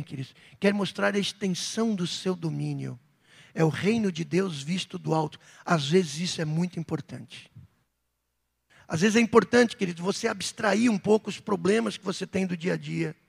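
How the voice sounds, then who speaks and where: Man, Brazilian Portuguese accent, pastor, sermon, husky high voice, long reverb. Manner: fervent preaching with rising outbursts, then softer reflective stretches. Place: church hall.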